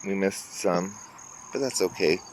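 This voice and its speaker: Low-voiced man touring his garden. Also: unhurried, low-quality recording. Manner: chatty, pointing things out to viewers. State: a little congested. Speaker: garden vlogger. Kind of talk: casual garden walkthrough. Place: outdoors in a garden near a road. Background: faint traffic and outdoor ambience.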